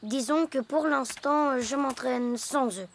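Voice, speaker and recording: A woman voicing a gentle, clumsy young boy hippo in a French children's cartoon. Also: high voice, slow, background noise. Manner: gentle, hesitant and easily excited.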